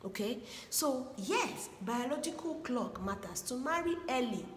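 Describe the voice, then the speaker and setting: A woman's voice: high-pitched, animated, unhurried. A woman from Igbo land gives earnest, preachy advice on marriage and repeats her points for stress. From Igbo land, Nigeria. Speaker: relationship advice speaker. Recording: echoey room with long reverb and faint background hiss.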